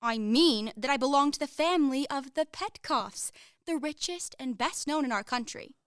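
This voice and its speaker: Haughty romantic young heiress for radio play, female actor: high voice, declamatory, earnest, proud, dry close recording. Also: expressive, normal speed, dry recording.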